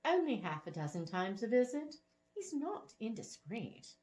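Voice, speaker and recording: A woman's voice: a little high, big pitch swings, unhurried, a little mellow. A woman voicing a spry old lady in a storybook, gracious and teasing, with a knowing, genteel manner.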